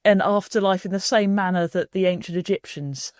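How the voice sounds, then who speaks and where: A woman's voice: medium pitch, fairly quick, a little mellow, no room sound, clean studio sound. A woman hosting a podcast, asking questions in a playful, jokey way. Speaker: podcast host. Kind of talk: casual podcast chat.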